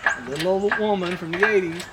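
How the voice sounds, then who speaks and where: Teasing country guy from oklahoma, kinda high voice, loud shop noise all around. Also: fairly quick. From Arkansas and Oklahoma, USA. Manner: teasing, joking country talk. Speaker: mechanic friend helping pull an engine. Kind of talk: casual chat while working. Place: home garage.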